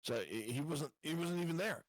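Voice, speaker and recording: Guy playing a tabletop game, raspy voice, unhurried, casual, dry close mic. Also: a little high, no echo. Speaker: player in a tabletop roleplaying game. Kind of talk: casual chat.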